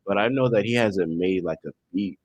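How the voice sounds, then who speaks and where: A man's voice: low-pitched, a little fast, darkish tone, no room sound, low-quality recording. A man in casual studio podcast discussion, offering his thoughts in a tentative, easygoing way.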